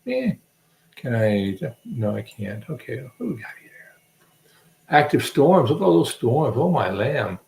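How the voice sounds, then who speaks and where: A man's voice: mid-range voice, creaky, a little mellow, reverberant. A man hosting a casual livestream, rambling and joking while he hums to himself and searches for things. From Alabama. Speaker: livestream host.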